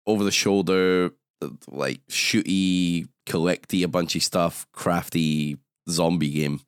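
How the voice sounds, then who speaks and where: A young British man hosting a podcast, his voice hoarse and gravelly after a night of drinking, chatting casually. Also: deep voice, fairly even intonation, slow pace, no echo, studio quality. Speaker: podcast host. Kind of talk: casual chat.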